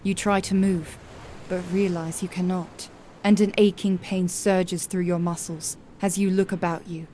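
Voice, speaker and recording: Female narrator, lowish pitch, flat intonation, solemn, measured, dramatic scripted storytelling. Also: slight background noise.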